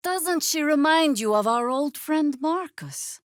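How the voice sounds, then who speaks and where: An actress playing a world-weary, wry middle-aged veteran druid who leads rebels in a video game, with a dry, measured delivery. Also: female voice, sits high, animated, no echo. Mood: wry, watchful. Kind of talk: video game character acting.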